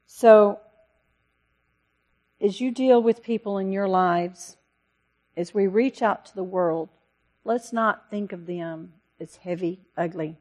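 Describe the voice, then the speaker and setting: A woman missionary giving an earnest, pleading talk to a church congregation, with a loud voice. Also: on the low side. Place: church service.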